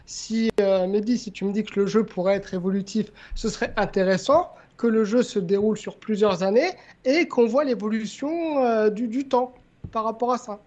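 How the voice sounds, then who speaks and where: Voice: mid-range voice, moderate pace, darkish tone, dull audio. A podcast guest in casual chat, tentatively offering ideas and repeating words. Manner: tentative, repeats words before making a point.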